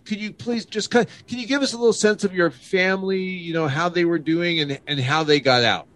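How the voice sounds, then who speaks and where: A man's voice: high voice, brisk, a bit bright. A man hosting a radio talk show, with an upbeat, emphatic announcer delivery as he interviews a guest.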